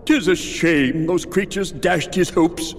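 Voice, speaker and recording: A man's voice: a little high, slow pace, gravelly, in a large hall, exaggerated voice. A man playing a pompous, erudite stone gargoyle in a video game, with a theatrical delivery. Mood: haughty.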